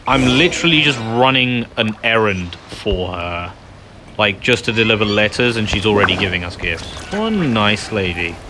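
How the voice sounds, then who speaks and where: A man's voice: lowish voice, expressive, moderate pace, background noise. A man giving casual, offhand commentary over a game playthrough. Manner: offhand, quiet asides. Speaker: gameplay commentator.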